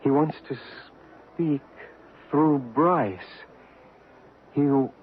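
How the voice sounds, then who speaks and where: An actor playing an earnest, halting male spirit medium in an old radio mystery drama. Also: a little high. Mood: entranced. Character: hushed, earnest male spirit medium and photographer named David. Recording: noisy, phone-like old radio broadcast recording.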